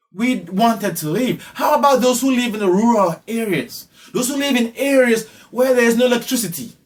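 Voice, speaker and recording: A man from Ghana with a Ghanaian accent giving spoken social commentary, emphatic and repeating phrases for effect. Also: very high voice. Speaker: online commentator.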